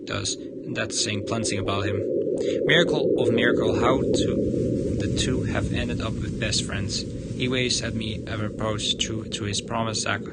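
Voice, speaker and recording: A man with a fairly low voice reads game narration aloud for a livestream. His delivery is halting, with stumbles over the text, and very loud noise runs behind him. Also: steady tone. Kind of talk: reading visual novel narration aloud.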